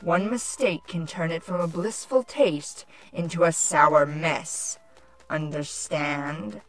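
An imperious changeling villainess from a cartoon audio story. She speaks deliberately and scoldingly, full of contempt, over background music. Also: low-pitched, poor audio. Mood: haughty lecturing. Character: imperious changeling queen villainess, irritable and haughty. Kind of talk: animated-series fan fiction audio drama. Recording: music under the voice.

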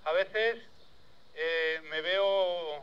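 A man's voice: high voice, extremely slow, lo-fi sound, very noisy. A man, chatting casually in a folksy, repetitive way outdoors. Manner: folksy, repeats himself. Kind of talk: casual chat. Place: outdoors in a village and vegetable garden.

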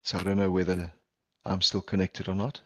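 Low-voiced South African man presenting. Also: monotone, dry recording, clean studio sound. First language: Portuguese. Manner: earnest, measured, with frequent ums and restarts. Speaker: palliative care physician presenter. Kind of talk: conference presentation over video call. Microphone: video call headset or laptop mic.

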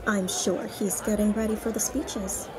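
A woman's voice: mid-range voice, fairly even intonation, unhurried, lightly acted, poor audio. A woman playing a middle-aged, wealthy, gushing and condescending society wife in a fiction podcast. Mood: haughty, dismissive. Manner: gushing, condescending, self-absorbed. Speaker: supporting character. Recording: busy crowd chatter behind.

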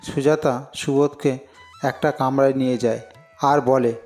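A man's voice: medium pitch, steady tone, measured pace, a little mellow, faint music behind. A man narrating a scripted cartoon story as its storyteller.

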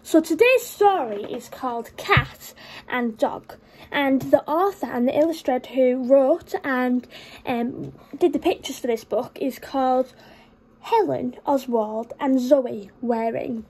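Nursery teacher type, female, twenties, high voice, unhurried, animated storytelling for little ones. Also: breathy edge, poor audio. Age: 20s. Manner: expressive, exaggerated child-directed delivery. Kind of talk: animated storytime reading for young children. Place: small furnished room at home, indoors.

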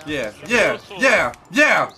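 A young man in his twenties, a streamer giving crude, loud, excitable commentary while playing a game, talking into a headset in his bedroom. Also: on the high side, drawn-out words, faint music behind. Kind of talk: crude live gaming commentary. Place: pink-lit bedroom, indoors.

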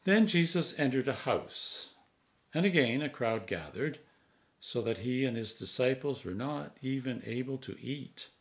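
A man in his sixties, a priest, reading scripture aloud in a measured, solemn manner with deliberate phrasing. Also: mid-range voice, lively intonation. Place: home study, indoors.

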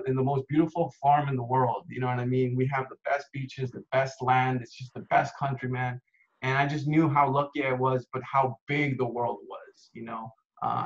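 A man in his thirties from Costa Rica, an actor chatting casually in an interview over a video call, laid-back and full of "dude" and "man". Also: mid-range voice. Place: home room with window, indoors. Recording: dull lo-fi video call audio.

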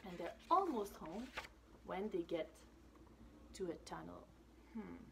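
A woman's voice: lowish voice, measured pace, vocal fry, slight background noise. A woman in her thirties reading a picture book aloud to children, expressively, with casual asides. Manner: expressive, with whispered asides and questions to listeners. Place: small indoor room with brick wall.

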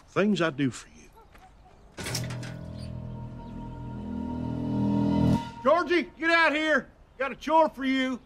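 A middle-aged male actor playing a gruff, baffled suburban sitcom dad, blunt and exasperated. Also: very high-pitched, very animated, very slow, over music, heavily acted. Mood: resigned. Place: backyard, outdoors.

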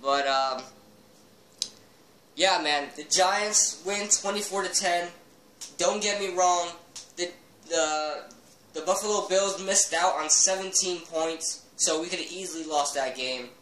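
A man's voice: high voice, fairly bright tone, roomy sound, rough recording. A teenage boy doing a heated post-game football review, talking into a laptop webcam in a small bedroom. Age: teens. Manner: heated, fast fan rant with fillers. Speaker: sports vlogger.

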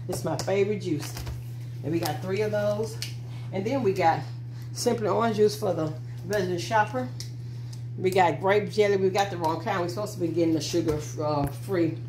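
A woman's voice: medium pitch, warm tone. A middle-aged woman chatting casually to her viewers as she drives and then shows her groceries, rambling from one thing to the next. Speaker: vlogger. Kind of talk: casual vlog chat. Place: in a car, then at a kitchen table. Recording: noisy car recording.

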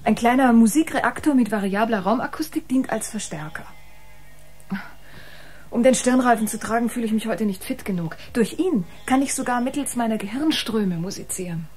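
A woman's voice: fairly high pitch, expressive, moderate pace, a little mellow, husky, faint music behind. A woman playing a proud, intense musician aboard a spaceship in a radio play, speaking emphatically. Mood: explaining proudly. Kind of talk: German radio play acting.

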